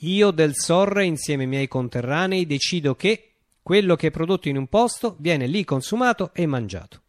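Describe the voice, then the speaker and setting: A man's voice: fairly high pitch, expressive, dry recording, clean studio sound, close to an everyday voice. Man acting a declamatory, visionary revolutionary leader, swooping intonation. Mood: ironic, proclaiming. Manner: declamatory, with deliberate dramatic pauses. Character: visionary utopian revolutionary leader, poetic and grandiose. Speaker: actor performing a radio monologue. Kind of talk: theatrical radio drama monologue.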